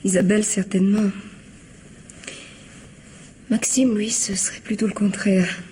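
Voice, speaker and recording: A woman playing an earnest, softly hesitant widow confiding in the police in a radio mystery drama. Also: medium pitch, fairly even intonation, unhurried, a little hiss or hum, lightly acted. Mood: troubled.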